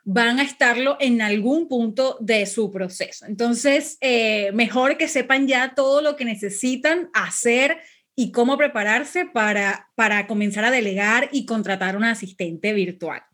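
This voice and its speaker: A woman's voice: a little high, unhurried, fairly bright tone. A woman hosting a podcast, effusive and chatty, laughing often as she talks with her guest. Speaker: podcast host. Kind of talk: casual podcast interview.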